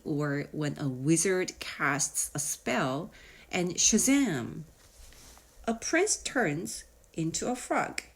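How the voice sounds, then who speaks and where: A woman narrating a children's nonfiction audiobook in a measured, explanatory way with deliberate pauses. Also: fairly low pitch. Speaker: audiobook reader.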